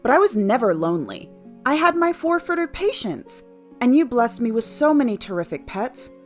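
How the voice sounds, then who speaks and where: A woman playing an earnest, excitable animal-loving veterinarian in an audio play, with expressive, theatrical delivery. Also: fairly high pitch, normal speed, faint music behind. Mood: wistful.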